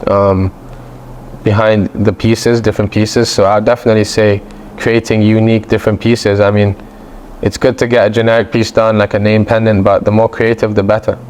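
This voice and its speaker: A man with a fairly low voice and an international British accent, talking as a guest in a relaxed interview. He speaks in loose, easygoing run-on phrases, and a faint hiss sits under his voice. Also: fairly quick. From Belgium. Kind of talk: casual interview chat.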